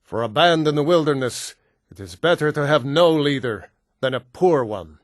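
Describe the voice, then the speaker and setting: An actor playing a proud, solemn Nord hunter and warrior in a video game, speaking in a measured, formal way. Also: male voice, a little high, lively intonation, no echo, studio quality, lightly acted. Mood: stern, reproachful. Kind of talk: scripted video game character dialogue.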